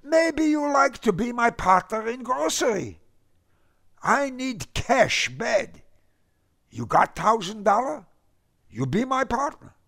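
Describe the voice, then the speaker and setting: A man voicing a booming, barrel-chested male grocery store owner who speaks broken English. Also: big pitch swings, slow, creaky, long pauses, a bit bright, full character voice.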